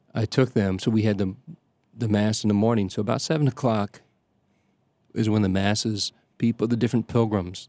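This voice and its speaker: An older man, a priest from the Chicago area, telling stories in casual conversation, halting often with fillers and restarts. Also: fairly low pitch, a little mellow, dry recording. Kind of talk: conversational storytelling.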